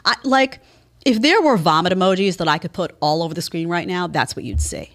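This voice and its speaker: A woman's voice: fairly low pitch, very animated, brisk, a bit bright. A woman hosting an opinion show, delivering a sarcastic, incredulous, emphatic monologue. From Italian family background. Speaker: show host.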